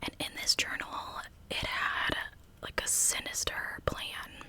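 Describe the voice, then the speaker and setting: A woman telling true crime stories as ASMR in a breathy, unvoiced whisper with a conversational manner. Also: slow, pushed and bright. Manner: conversational narration.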